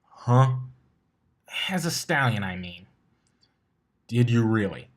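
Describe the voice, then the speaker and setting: A man's voice: medium pitch, sing-song, slow. Man as a cocky, grumbling pegasus stallion. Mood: skeptical, cocky. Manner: casual, sarcastic.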